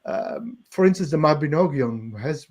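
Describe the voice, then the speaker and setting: Eager, halting man with a higher voice. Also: animated, darkish tone. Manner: halting, eager, laughs easily. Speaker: co-host interviewer. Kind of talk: conversational interview.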